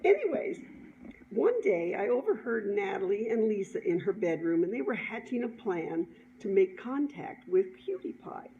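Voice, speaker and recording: A middle-aged woman shares a personal story in a conversational, animated manner with chuckles. Her voice sits at a medium pitch and is warm and rounded in tone. The recording has some background noise. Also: moderate pace. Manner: animated, chuckling, acts out dialogue. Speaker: author reading her own story. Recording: dull lo-fi sound, clear background noise.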